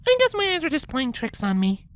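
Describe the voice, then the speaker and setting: A woman's voice: high-pitched, wildly swooping pitch, a little fast, faint music behind. A woman voicing a bookish young alicorn mare in a story, emphatic and knowing. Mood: wry, knowing.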